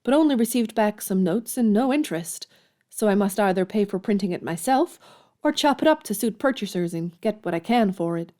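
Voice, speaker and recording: A woman's voice: medium pitch, expressive, fairly quick, warm tone, natural voice. A woman playing a headstrong young writer in a period audio drama, outspoken and emphatic. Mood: determined.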